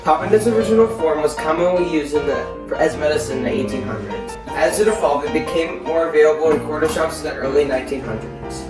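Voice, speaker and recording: A young presenter with a mid-range voice reads a scripted explainer. The sound is distant and echoey, with guitar music clearly behind. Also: medium pitch, fast, hardly any pauses. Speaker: presenter and play narrator. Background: plucked guitar background music.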